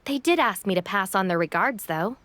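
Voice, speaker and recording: A woman's voice: mid-range voice, animated, fairly quick, a bit bright, natural voice. A woman playing a sensible young gang deputy in a video game, practical and matter-of-fact.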